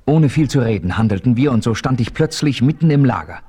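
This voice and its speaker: An actor narrating a radio play as a steady frontier hero, telling his story in a measured way. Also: medium pitch, breathy edge.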